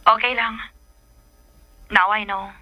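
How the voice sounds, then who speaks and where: A woman's voice: mid-range voice, steady tone, takes it slowly, no echo, lo-fi sound, lightly acted. A woman acting a hurt, reproachful young girlfriend in a Filipino radio drama, with a Bisaya accent.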